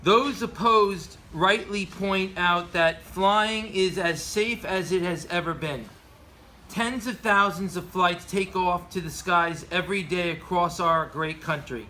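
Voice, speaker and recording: A man delivers earnest prepared commentary in a somewhat high, very bright and forceful voice. He reads as a presenter, now and then tripping over a word. There is faint noise behind him. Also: slow pace. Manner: earnest, occasionally stumbling over scripted words. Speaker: aviation commentator presenting a show segment. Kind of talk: prepared commentary read aloud with informal asides.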